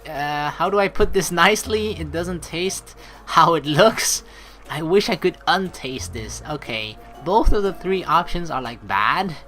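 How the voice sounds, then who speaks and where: Playful, animated low-voiced woman gamer. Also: a little slow. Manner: playful, chatty, reacts to the story. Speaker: let's play host. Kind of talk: game playthrough, reading narration aloud with casual commentary. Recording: game music behind voice.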